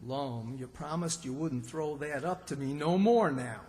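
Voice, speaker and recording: A man's voice: on the high side, unhurried, warm tone, close to an everyday voice. A man playing a flustered, folksy older country character with a rural Southern American accent in an old-time radio comedy. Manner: folksy, fretful, exclaiming. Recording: stage performance in reverberant hall.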